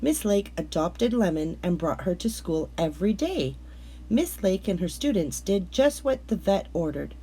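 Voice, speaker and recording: A woman reads a picture book aloud for children. Her voice is mellow and a little breathy-rough, sitting at a middle pitch. She tells the story in a measured, expressive way, stretching out words for effect. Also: poor audio. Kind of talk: scripted read-aloud narration of a picture book.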